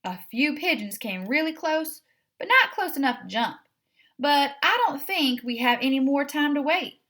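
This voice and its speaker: A woman voicing an earnest, patient, quietly clever male cat in a children's storybook, with a gently coaxing manner. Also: high-pitched, animated, normal speed, voice pushed up. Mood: earnest, persuasive. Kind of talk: children's storybook read-aloud.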